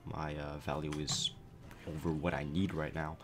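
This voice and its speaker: Young man, very low strained voice, cracking, laid-back game explainer, faint hiss behind. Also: moderate pace. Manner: relaxed, explanatory, many fillers. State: voice cracking after a concert. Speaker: gameplay commentator. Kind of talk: casual gameplay commentary.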